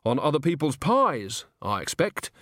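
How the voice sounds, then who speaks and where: A man's voice: fairly high pitch, sing-song, unhurried, fairly bright tone, dry recording, studio quality, voice pushed up. A man voicing an earthy, cheeky and rebellious old witch woman in an audiobook.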